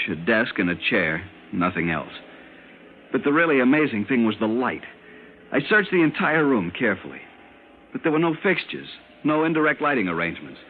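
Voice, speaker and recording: Through a tinny, hissy vintage radio recording comes a man acting a haunted lieutenant. His voice is on the low side, and he tells his ordeal with urgent, earnest intensity, like a man desperate to be believed. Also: close to an everyday voice. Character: frightened military lieutenant recounting a strange captivity, urgent and haunted. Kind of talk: old-time radio drama acting, first-person narration. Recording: noisy, narrow-band old radio recording.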